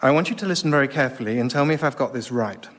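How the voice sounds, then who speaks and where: An actor playing a painstaking, over-analytical boyfriend in a radio comedy sketch. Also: male voice, mid-range voice, very fast, close to an everyday voice. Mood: careful, tense.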